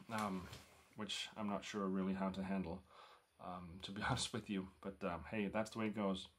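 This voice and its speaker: A man's voice: low-pitched, normal speed, a little hiss or hum. A man talking casually to the camera at home, hesitant and full of ums and restarts. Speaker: record collector vlogger. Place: home room lit by a lamp.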